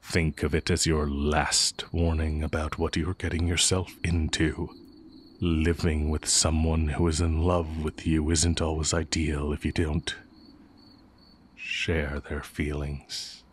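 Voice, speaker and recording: Man playing a very deep-voiced, unhurried dark mage. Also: flat delivery, a little hiss or hum. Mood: confiding, earnest. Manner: measured, formal, deliberate phrasing. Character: heretic dark mage, male former teacher, tender and sly. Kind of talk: romantic audio roleplay, intimate scripted acting.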